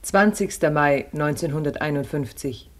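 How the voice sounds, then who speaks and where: A deep-toned female narrator played by an actress from a 1950s radio play. She delivers short announcements soberly and formally. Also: moderate pace, lightly acted. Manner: terse, formal.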